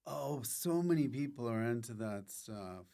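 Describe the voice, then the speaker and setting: A man with a medium-pitched voice hosting a podcast, chatting casually with short joking interjections. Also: animated, unhurried, creaky, mellow. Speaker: podcast host.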